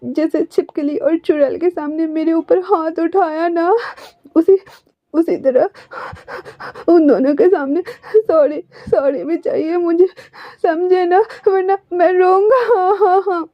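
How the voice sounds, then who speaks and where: A woman's voice: high voice, steady tone, moderate pace, lo-fi sound, pitched above the performer's own voice. A woman voicing a sobbing, whiny young man. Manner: sobbing, pleading, sulky. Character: whiny, childish young man, always crying. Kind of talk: storybook reading.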